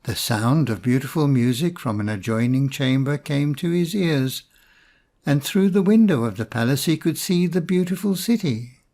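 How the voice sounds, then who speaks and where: A man reading a storybook fairy tale in a measured, expressive storytelling manner. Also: fairly high pitch. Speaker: storybook narrator.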